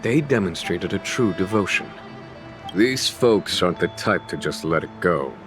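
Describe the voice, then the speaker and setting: A man voicing a wise, composed general in a video game, with a measured, formal, diplomatic delivery. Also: low voice, lively intonation. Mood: measured, advising. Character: composed, wise male general, courteous and wry. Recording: music behind the voice.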